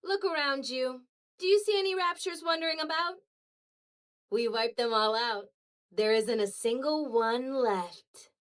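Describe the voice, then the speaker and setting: A woman playing a calm, reassuring female android squad veteran in a video game dub, composed and gently coaxing with a playful streak. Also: high voice, a little slow. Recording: music behind the voice.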